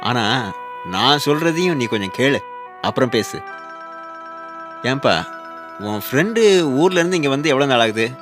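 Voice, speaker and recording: Middle-aged male mentor figure played by a man: mid-pitched, frank, scolding radio-drama neighbour over music. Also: animated, normal speed, pauses often, lightly acted. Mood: admonishing. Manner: admonishing, rhetorical questioning. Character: frank, worldly-wise older male neighbour, scolding but caring. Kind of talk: Tamil radio drama acting. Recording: music behind the voice.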